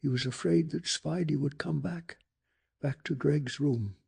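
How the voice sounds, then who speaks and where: A man softly narrating a bedtime story in a calm, hushed ASMR style, telling it with deliberate drama. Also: on the high side. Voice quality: soft, breathy.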